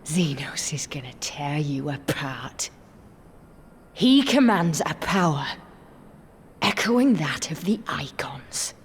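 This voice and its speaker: Defeated young imperial soldier girl in a game, sneering n bitter, slow delivery, voice leaping up and down. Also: sits low, sing-song, slight background noise, full character voice. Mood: spiteful, weakened. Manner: scornful, sneering, breaking into breathy whispers. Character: defeated, bitter, defiant young woman soldier serving an empire. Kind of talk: dramatic game cutscene acting.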